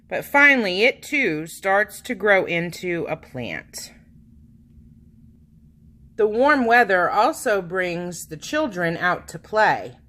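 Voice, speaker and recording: Female storybook reader for young children: unhurried pace, very animated pitch, dramatic stress on key words. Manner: expressive, dramatic emphasis with drawn-out words. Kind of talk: read-aloud picture book narration.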